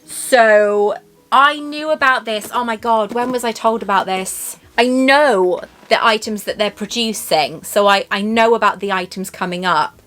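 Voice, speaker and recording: A woman's voice: mid-range voice, measured pace, fairly bright tone. A woman with a Welsh accent hosting a chatty unboxing vlog, rambling, gushing and laughing often. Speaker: YouTube host.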